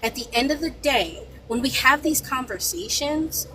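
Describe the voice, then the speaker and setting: A woman panelist with a soft voice, speaking firmly and emphatically in a heated debate. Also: high voice, lively intonation, normal speed, background noise. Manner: firm, lecturing, emphatic.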